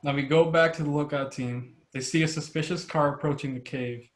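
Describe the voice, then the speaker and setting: A man's voice: fairly high pitch, fairly even intonation, a little fast. A man narrating scene directions from a script at an amateur table read.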